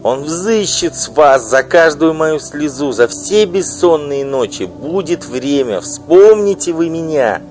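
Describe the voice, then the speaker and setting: Male reader playing a high, loud, furious wife in a storybook, faint music behind. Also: lively intonation, a little slow. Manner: shrill, pleading and accusing. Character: indignant wronged wife, middle-aged woman. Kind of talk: audiobook reading.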